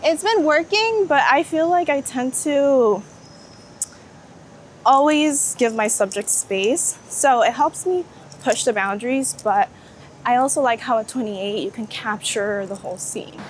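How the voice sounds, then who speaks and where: High-voiced woman, chatting on the street. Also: unhurried. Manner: enthusiastic, informal, peppered with "like". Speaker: street photographer being interviewed. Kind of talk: casual interview chat while walking. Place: outdoors on a city street. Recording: outdoor city street traffic noise. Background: street ambience and passing vehicles.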